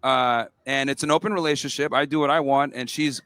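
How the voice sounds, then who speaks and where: A man debating students on a college campus in a blunt, mocking way, firing back quick comebacks. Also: mid-range voice, fairly even intonation, bright, forceful tone, smooth, no room sound.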